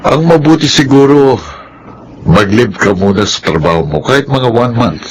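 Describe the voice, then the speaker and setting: An actor playing a stern, composed middle-aged company boss with an authoritative, admonishing manner in a Filipino radio drama. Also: male voice, mid-range voice, expressive, moderate pace, slight background noise, close to an everyday voice, poor audio. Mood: stern, measured.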